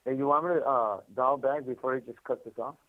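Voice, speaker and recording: A man's voice: medium pitch, fairly even intonation, fairly quick. A man with a Californian accent telling a rambling story over a prison phone call, repeating words and using street slang. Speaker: incarcerated caller. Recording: tinny phone line.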